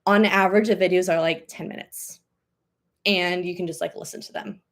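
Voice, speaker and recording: A woman's voice: lowish voice, steady tone, brisk. A woman in her thirties hosting a live question-and-answer stream as a language coach, talking casually and upbeat with lots of "um" and "like". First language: English. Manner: chatty, upbeat, many fillers like "um" and "like".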